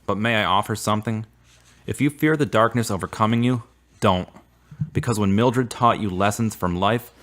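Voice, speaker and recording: A man playing an earnest, devout young man in a radio drama, with measured, formal, preachy phrasing. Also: fairly low pitch, fairly bright tone, lightly acted.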